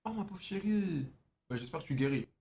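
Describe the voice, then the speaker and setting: Young male streamer, mocking slangy banter, quick and a bit high. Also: expressive, vocal fry, dark tone. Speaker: streamer chatting with strangers on video chat. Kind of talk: casual teasing chat.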